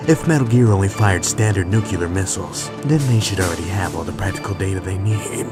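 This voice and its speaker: A man voicing a stern, battle-hardened soldier with a gravelly growl and terse delivery, as in a video game dub. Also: deep voice, fairly quick, pitched below the performer's own voice. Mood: probing, grim. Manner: terse, interrogating, deadpan. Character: gruff, stern, battle-hardened male soldier, a lone operative. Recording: loud music underneath. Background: loud music.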